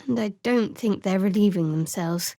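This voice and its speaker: A woman playing a guarded, sardonic young cleric of a dark goddess in a video game, with a dry, wry, measured delivery. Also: mid-range voice, dry recording, lightly acted. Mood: guarded, composed. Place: studio.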